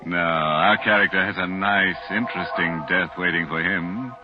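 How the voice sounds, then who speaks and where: An actor playing a ghoulish, mischievous host of a 1940s radio horror show, with a wry, macabre, theatrical manner. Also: male voice, deep voice, moderate pace, close to an everyday voice. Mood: sly, ghoulish. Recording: muffled 1940s radio broadcast with music.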